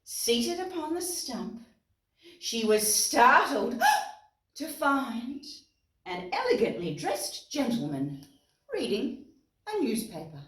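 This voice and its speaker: A high-voiced Australian woman reads a children's story at an unhurried pace. She is expressive and explains words simply for little listeners. The recording is roomy, as if the mic sits a little way off. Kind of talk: animated read-aloud storytelling for young children, with songs. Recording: roomy echo, distant mic, guitar music.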